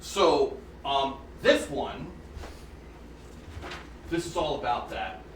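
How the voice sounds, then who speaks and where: A man's voice: mid-range voice, expressive, slow pace, slight background noise. A pianist at a recital, telling the stories behind each piece in a conversational way with asides to his listeners. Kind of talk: informal spoken program notes between pieces. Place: indoor room with air conditioning. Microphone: phone with USB microphone, placed away from speaker. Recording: roomy and distant with faint ambience.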